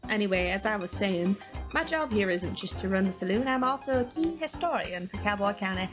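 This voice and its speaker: A woman's voice: medium pitch, animated, fairly quick, over music, pitched above the performer's own voice, close to an everyday voice. A woman voicing a playful, boastful female saloon keeper who teases in a western video game. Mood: self-amused. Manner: playful, teasing, self-amused. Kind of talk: video game character read-aloud.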